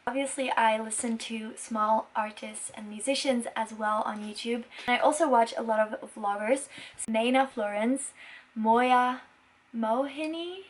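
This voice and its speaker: A woman in her twenties from Belgium, a native Dutch speaker, chatting casually to viewers in an earnest, enthusiastic and emphatic way. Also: on the high side. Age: 20s. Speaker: vlogger and musician.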